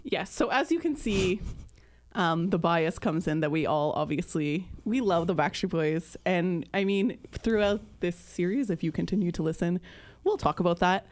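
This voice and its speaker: A woman in her thirties from Canada, hosting a podcast in casual chat with many ums and pauses. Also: on the low side, lively intonation. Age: 30s. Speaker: podcast host.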